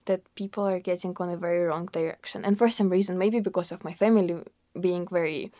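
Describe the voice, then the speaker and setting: A woman's voice: on the low side, steady tone, quick pace, mellow, no echo, clean studio sound. A young woman with a Russian accent, earnestly answering interview questions in a casual way, filling her talk with "like" and "you know". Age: young adult. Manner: earnest, fillers like "like" and "you know", laughs often.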